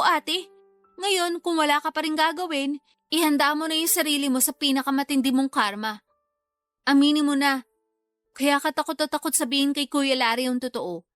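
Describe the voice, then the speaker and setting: An actress playing a blunt, reproachful younger sister in a Tagalog radio drama. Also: female voice, sits high, a bit bright, dry recording, clean studio sound. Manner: stern, reproachful.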